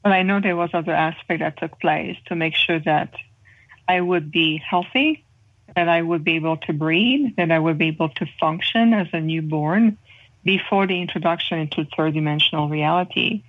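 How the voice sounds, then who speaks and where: A French Canadian woman talks earnestly as a guest, pausing often and filling gaps as she explains. Her voice sits a little low. It comes through a thin, tinny phone connection. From Canada (Montreal). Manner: earnest, measured, with frequent pauses and fillers. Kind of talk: interview guest describing spiritual experiences. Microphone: phone call.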